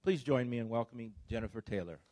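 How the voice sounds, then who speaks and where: A man's voice: medium pitch, fast, noisy background. Man introducing a guest speaker. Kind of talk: formal introduction.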